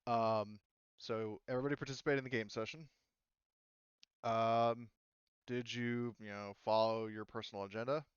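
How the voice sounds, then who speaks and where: A man chatting casually as a game master, explaining things in a halting, conversational way. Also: medium pitch, fairly even intonation, clear, resonant tone, no echo, lo-fi sound. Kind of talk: casual chat, running a tabletop game session.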